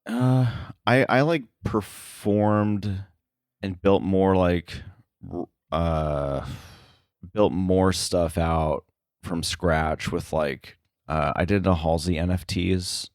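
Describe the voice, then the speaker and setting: A man speaking as an interview guest in casual chat, halting and informal, thinking his way through each phrase. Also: low voice, steady tone, darkish tone, no room sound, studio quality. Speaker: interview guest, sound editor.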